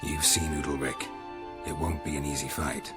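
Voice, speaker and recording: An actor playing a stoic, weathered monster hunter in a video game, terse and deadpan. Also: male voice, a bass voice, moderate pace. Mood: grave, explanatory. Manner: terse, deadpan, matter-of-fact. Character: stoic, weathered male monster hunter, methodical and world-weary. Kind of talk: video game cutscene acting. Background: loud music.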